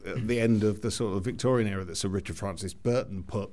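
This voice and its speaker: A man's voice: on the low side, quick pace, darkish tone. A man who is a student, answering questions conversationally in an interview.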